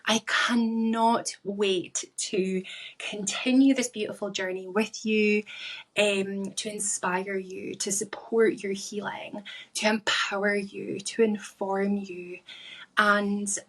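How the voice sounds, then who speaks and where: A woman from Scotland with a Scottish accent, talking solo to her listeners as a podcast host and life coach, enunciating deliberately. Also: medium pitch, little pitch movement, a bit bright.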